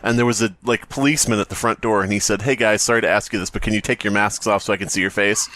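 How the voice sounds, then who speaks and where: A man's voice: on the low side, fairly even intonation, fast, bright, forceful tone, dry recording. A man co-hosting a podcast, bantering casually in a rambling, sarcastic way as he riffs on a story. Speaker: podcast co-host. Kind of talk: casual comedic banter and riffing on a story read aloud.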